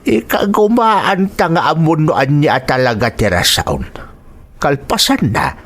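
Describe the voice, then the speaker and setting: An actor with an Ilocano accent playing a worried, insistent elderly husband and father in a radio drama, with a husky voice. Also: on the high side, big pitch swings, unhurried, a bit bright, exaggerated voice.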